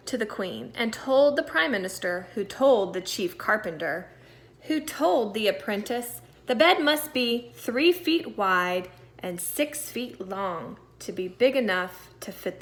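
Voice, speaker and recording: A woman teacher reading a storybook aloud to young children in an expressive, deliberate way, stressing key words. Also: mid-range voice. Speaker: second-grade teacher.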